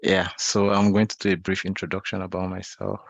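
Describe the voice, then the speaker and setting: A man's voice: low voice, completely flat delivery, a little fast, gravelly, no room sound. A young Nigerian man in his twenties giving an online talk, telling a personal story in a conversational way, often repeating and restarting his phrases. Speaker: guest speaker. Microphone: video call.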